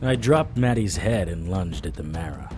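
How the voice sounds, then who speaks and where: A man playing a weary, wry monster fighter, narrating an audio drama in the first person with a husky voice. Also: low-pitched, animated, normal speed, darkish tone, faint music behind. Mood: grim, haunted.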